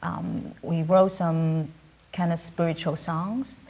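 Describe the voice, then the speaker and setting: A woman's voice: fairly low pitch, little pitch movement, slow pace, mellow. Hesitant Cantonese-native woman singer. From southern China (Canton). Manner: hesitant, many ums and uhs, laughs easily. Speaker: singer, guest. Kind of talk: casual interview chat. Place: studio.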